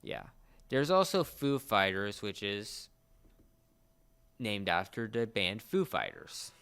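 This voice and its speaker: Halting, clear-voiced man in fan chat. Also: fairly low pitch, very animated, fairly bright tone. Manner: halting, searching for words.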